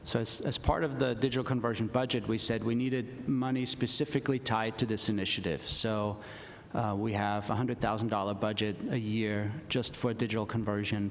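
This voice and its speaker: A school administrator answering a question on a conference panel, relaxed and full of fillers. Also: male voice. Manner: relaxed, many fillers and restarts. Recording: reverberant conference hall, faint rumble.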